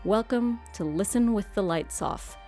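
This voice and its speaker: A woman's voice: mid-range voice, a little slow, warm tone. Wry, theatrical woman host, music behind. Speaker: host of a radio horror show. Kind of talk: scripted introduction narration.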